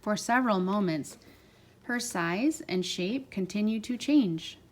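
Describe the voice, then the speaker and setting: A woman's voice: medium pitch, lively intonation, unhurried, very noisy. A woman narrating a children's storybook aloud as a parent, expressively stressing key words.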